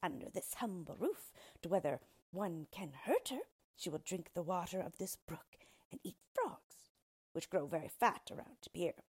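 A woman voicing an ugly little yellow dwarf man from a storybook, smug, sly and disdainful, speaking in a gloating, mock-polite, emphatic way. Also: lowish voice, sing-song, a little slow, slightly rough, heavily acted. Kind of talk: storybook character reading.

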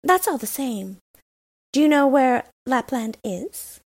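Storybook robber girl, blunt and wild, high pitched, as a woman puts her on. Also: very animated, takes it slowly, heavily acted. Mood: brash. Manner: blunt, brash, high and loud.

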